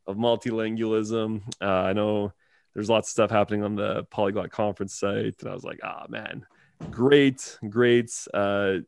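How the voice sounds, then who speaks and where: A man's voice: lowish voice, fairly even intonation, moderate pace, vocal fry, slight background noise. A man in his thirties hosting a casual podcast, rambling with lots of fillers and easy laughs. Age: 30s. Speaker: podcast host.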